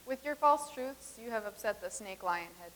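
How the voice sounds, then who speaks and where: A woman's voice: a little high, animated, moderate pace, fairly bright tone. A woman in a comedic staged reading playing a solemn, eccentric devotee of a strange idol. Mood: solemn, reproachful. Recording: faint hiss and noise.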